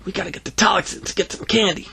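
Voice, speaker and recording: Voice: medium pitch, fast, gravelly, sharp tone, slightly rough, pitched above the performer's own voice, full character voice. A man voicing an anxious, exasperated young boy with a flustered, stammering delivery in a story.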